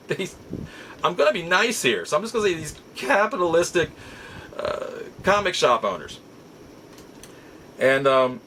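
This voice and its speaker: A middle-aged man talks straight to his viewers in a casual, rambling storytelling style. His voice sits at an ordinary male pitch, and he sounds exasperated and emphatic, often repeating a phrase to drive it home. A clear, steady hum like air conditioning runs behind him. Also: low-quality recording. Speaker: host of a nostalgia and toy collecting show.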